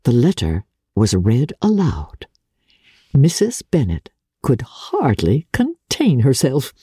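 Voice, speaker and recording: Low, husky, mellow woman narrator. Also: dry recording, studio quality. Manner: measured, expressive storytelling. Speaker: audiobook narrator. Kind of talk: dramatic literary narration.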